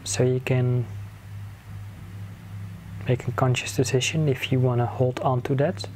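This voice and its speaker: A man leading a guided meditation in a soothing manner, giving gentle instructions in short phrases with long pauses between them. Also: medium pitch, flat delivery. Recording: clear background noise, ambient sound.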